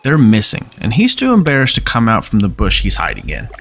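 A man's voice: lowish voice, wildly swooping pitch, brisk, gravelly, a bit bright. A man in his thirties with a stuffed-up nose from a cold, hosting a casual let's-play and chatting as he plays, sometimes reading the game's dialogue aloud in his own voice. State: congested from a cold. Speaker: gaming channel host. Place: home room, webcam in corner. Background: faint game music.

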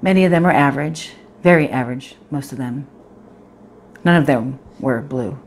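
A low-pitched, anxious young professional woman, played by a woman, confides directly in the audience. She is fretful and self-critical, circling back over her words in a confessional theatre monologue. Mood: bitter, withdrawn. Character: anxious, self-critical 28-year-old professional woman, obsessive about appearance. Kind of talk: dramatic monologue in direct address.

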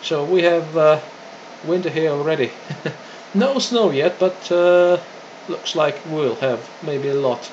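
Higher-pitched man on ham radio, dull sound. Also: takes it slowly, darkish tone. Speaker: amateur radio operator. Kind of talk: ham radio contact exchange. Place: indoors beside a radio set. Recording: dull lo-fi audio with faint noise.

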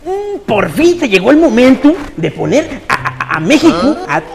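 A man's voice: extremely high pitch, very animated, moderate pace, vocal fry, over music. A man playing a boastful comic contestant in a sketch, rambling and stammering, speaking into a handheld mic in a large bare hall. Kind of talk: comedy sketch.

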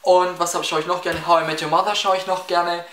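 Swabian teen boy, bright and echoey. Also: high voice, level tone, fairly quick. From Baden-Württemberg, near Stuttgart. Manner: chatty, rambling, many fillers and restarts. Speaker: YouTuber answering viewer questions. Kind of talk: casual Q&A vlog. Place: small room in front of window blinds, indoors. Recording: echoey room, slightly distant mic.

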